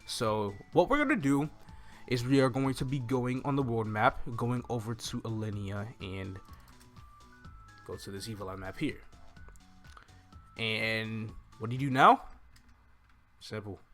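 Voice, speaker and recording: A young man with a bright, forceful voice walks viewers through a video game. He talks informally and thinks aloud, close to the microphone. Music plays behind him. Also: mid-range voice, expressive, a little slow, pauses often. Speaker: gaming video host. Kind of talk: casual game walkthrough commentary. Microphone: microphone on a stand close in front of him.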